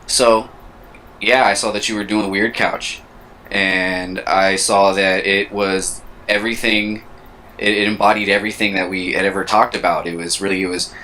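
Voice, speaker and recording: A man's voice: low voice, level tone, normal speed, sharp tone, slight background noise. A man in his thirties co-hosting a casual chat, thinking aloud in a reflective way and searching for his words. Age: 30s. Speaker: co-host.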